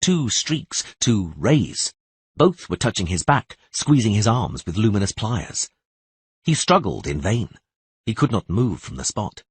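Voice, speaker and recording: A man's voice: lowish voice, sing-song, a little slow, slightly rough, no room sound. A man narrating a fantasy audiobook in a dramatic, scripted storytelling style.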